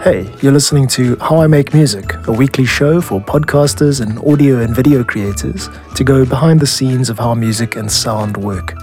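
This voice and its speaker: A man with a South African accent hosting a podcast, explaining in a measured, scripted style. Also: medium pitch, a little mellow, faint music behind. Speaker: podcast host, music composer and producer.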